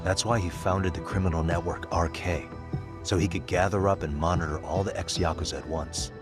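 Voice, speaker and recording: A composed detective from a video game , voiced by a man, thinking things through step by step. His voice is deep and measured, with music clearly behind it. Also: fairly even intonation, close to an everyday voice. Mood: analytical. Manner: measured, reasoning. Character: cool, analytical male detective, focused and composed. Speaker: voice actor in an English dub. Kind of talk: video game cutscene dialogue.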